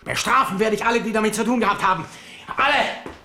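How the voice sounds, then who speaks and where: A man's voice: sits high, fast, bright, forceful tone, exaggerated voice. An actor playing a tormented, weak-willed young king in a radio play, theatrical and overwrought. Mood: furious. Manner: theatrical, overwrought, swinging from muttering to outbursts.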